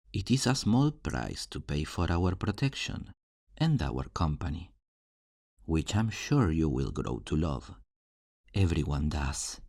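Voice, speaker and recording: Casting: male actor as charming thief for fantasy ASMR, teasing and theatrical, unhurried, swinging delivery. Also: a bass voice, darkish tone, no room sound, clean studio sound, heavily acted. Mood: smooth instructing. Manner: flirtatious, smooth-talking, theatrical, teasing. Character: charming, roguish fantasy thief recruiting for his guild. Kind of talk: ASMR roleplay, scripted character acting.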